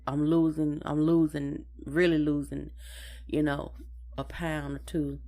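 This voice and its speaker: A woman's voice: sits low, level tone, unhurried, warm tone. A woman co-hosting a podcast in a casual personal chat, hesitant and often repeating and rephrasing her words. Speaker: podcast co-host.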